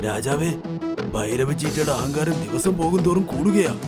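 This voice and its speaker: A man voicing a concerned, loyal male bear who earnestly urges action in an animated children's story. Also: fairly high pitch, normal speed, close to an everyday voice. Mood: reporting, indignant. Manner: earnest, urging. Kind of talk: animated children's fairy tale dialogue. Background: loud music.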